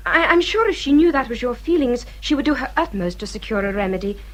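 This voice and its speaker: An actress playing a haughty but deferential grown daughter of English gentry in a period radio drama, speaking formally and persuasively. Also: female voice, on the high side, expressive, quick pace. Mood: obliging.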